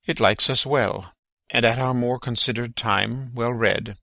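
Man as courteous king, gravelly edge, forceful, formal, regal, classical stage drama. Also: mid-range voice, fairly even intonation, unhurried. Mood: gracious, regal. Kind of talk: classical Shakespearean stage acting, dramatic reading.

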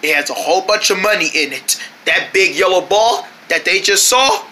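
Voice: medium pitch, normal speed, sharp tone, close to an everyday voice. A performer voicing a bossy, money-guarding boss puppet in a homemade skit. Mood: stern, possessive.

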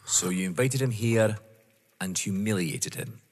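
A man acting in an audio drama as a politely persistent police inspector, formal and probing, with a husky voice. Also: fairly low pitch, unhurried. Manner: formal, deferential but probing. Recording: echoey hall-like reverb.

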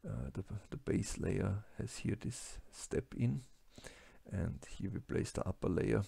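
A man with a German-speaking accent giving a slide lecture, halting with many fillers and restarts. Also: lowish voice, vocal fry, dark tone, slightly rough. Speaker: lecturer on plastics engineering.

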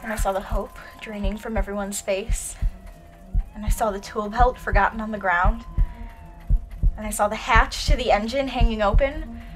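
Casting: actress as earnest young female storyteller, mid-pitched, scripted radio drama narration, music behind. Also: fairly even intonation, moderate pace, natural voice, low-quality recording. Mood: earnest, reflective. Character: young female adventurer narrating her own tale earnestly.

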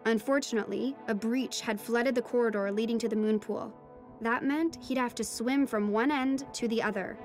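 A woman's voice: a little high, normal speed, a little mellow, soft background music, lightly acted. A woman playing a teenage girl who narrates her own adventure in a scripted audio drama. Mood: narrative, suspenseful. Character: teenage daughter recounting her ocean adventure. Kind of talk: scripted first-person audio fiction narration.

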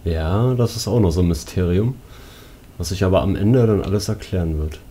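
A man with a slightly husky voice giving casual commentary as a let's play host. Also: low voice, a little fast, warm tone, slight background noise.

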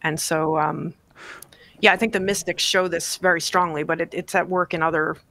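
A bright, clear-voiced woman in her forties talks as a guest author, thoughtful and measured, pausing with fillers as she builds her points. She is recorded dry and close on a desk mic in a home study. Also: on the low side, steady tone, no room sound. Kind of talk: interview on radio show. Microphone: desk podcast mic on boom, video call.

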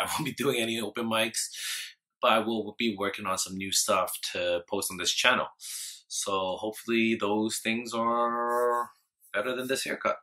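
A young man giving a relaxed, self-deprecating intro straight to camera from a room at home. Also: fairly low pitch. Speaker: channel host. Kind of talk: casual video intro to camera. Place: home room with bookshelf, indoors.

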